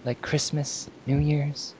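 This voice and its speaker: A woman's voice: deep voice, flat delivery, takes it slowly, dark tone, husky, full character voice, poor audio. A woman voicing a grieving, pleading boy in an amateur story reading. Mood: sad, tearful.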